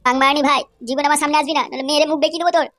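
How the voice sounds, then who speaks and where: A performer voicing a lovesick, quarrelsome young man in a cartoon, whiny and quick to swear. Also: high voice, steady tone, fairly bright tone. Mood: drunk angry. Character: lovesick young man, tearful then drunk and angry. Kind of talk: cartoon voice acting.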